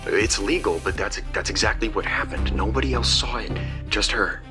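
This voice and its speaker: A man playing a marketing insider calling in to confess, deliberate and self-justifying, in a scripted drama. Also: on the low side, close to an everyday voice. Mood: confiding, uneasy. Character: confessional male social media marketing insider. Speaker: caller. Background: music bed.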